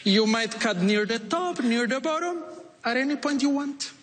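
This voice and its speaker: A man's voice: very high voice, lively intonation, normal speed, fairly bright tone, long reverb tail, slight background noise. A man from Ecuador with an Ecuadorian Spanish accent, performing as a magician on a TV stage with playful, coaxing showman's patter. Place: TV studio stage before an audience.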